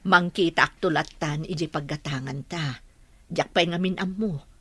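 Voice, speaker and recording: A woman's voice: low-pitched, normal speed, a bit bright, slightly rough, no room sound, heavily acted. A woman playing a humble village mother who raises pigs, in an Ilocano radio drama. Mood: homely, fond.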